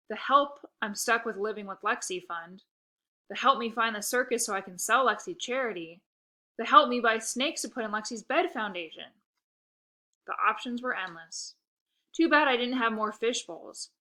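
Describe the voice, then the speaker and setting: A woman reading a children's book aloud in an expressive narrating style. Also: mid-range voice. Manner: expressive, occasional stumbles over words. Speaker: storybook reader.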